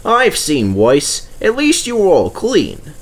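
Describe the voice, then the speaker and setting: A man's voice: fairly high pitch, huge pitch swings, takes it slowly, voice pushed up, full character voice. A man voicing a big, smug, boastful diesel engine in a children's story, with a haughty manner. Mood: condescending.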